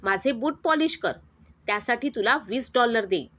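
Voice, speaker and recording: A woman's voice: fairly high pitch, lively intonation, normal speed, fairly bright tone. A woman voicing a rude, mocking rich young woman in a storybook narration. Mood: scornful.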